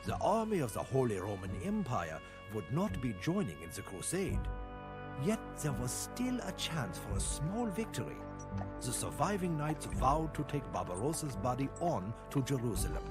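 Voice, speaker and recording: An actor playing a solemn storyteller, giving grave, theatrical scripted narration of medieval history for a video game. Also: male voice, mid-range voice, very animated, moderate pace, loud music behind the voice, close to an everyday voice.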